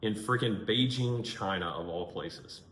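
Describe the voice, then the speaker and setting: A man's voice: lowish voice, unhurried, a bit bright. A man hosting a podcast and interviewing a guest in a casual, conversational way. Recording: echoey room, clear background noise.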